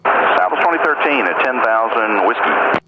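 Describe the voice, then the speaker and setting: A man's voice: medium pitch, lively intonation, moderate pace. A man, an airline pilot, giving readbacks over cockpit aviation radio. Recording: muffled, very noisy cockpit radio.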